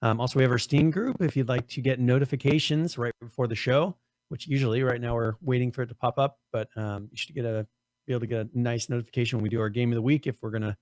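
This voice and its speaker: A man co-hosting a casual gaming podcast, losing his voice, his throat strained and cracking on high notes, rambling with many restarts. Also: fairly even intonation, fast, a little mellow, no echo. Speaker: podcast co-host.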